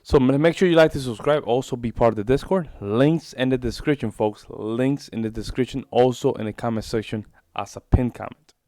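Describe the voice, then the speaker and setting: A man's voice: mid-range voice, brisk, dark tone, no echo. Chatty man, dry close sound. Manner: chatty, rambling, repeats himself, informal "bro". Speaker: reaction video host. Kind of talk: casual reaction commentary.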